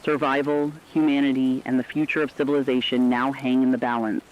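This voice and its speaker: A man's voice: medium pitch, level tone, brisk, lightly acted. Dull-sounding actor as a solemn male war correspondent. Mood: grave. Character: grave radio correspondent relaying war news and presidential speech. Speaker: radio news correspondent. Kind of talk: scripted dramatic news broadcast.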